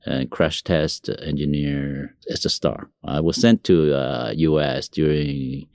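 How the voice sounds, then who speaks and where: An older Taiwanese man speaks with a very deep, low voice in a dry, close recording. His English carries a Taiwanese accent from his native Mandarin and Taiwanese. He talks casually and haltingly, like an executive sharing anecdotes in an interview. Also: a little mellow, dry recording. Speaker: company CEO, interview guest.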